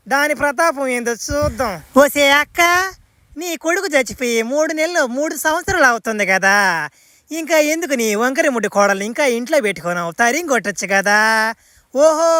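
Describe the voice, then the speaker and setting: A woman voicing a domineering, sharp-tongued old village woman in a comic cartoon, with a rural Telugu dialect and a coarse, mocking manner. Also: high-pitched, no room sound, clean studio sound.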